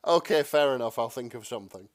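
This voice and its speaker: A man with a mid-range, very animated voice, recorded dry. He chats and jokes casually as a podcast co-host. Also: mid-range voice, quick pace, dry recording. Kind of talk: casual joking chat.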